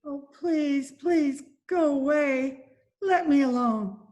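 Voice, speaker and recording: A woman performs a weary old woman of the streets with a high, plaintive voice. She draws her words out slowly and pleads hopelessly in old-time radio style. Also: darkish tone. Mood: weary despair. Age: older.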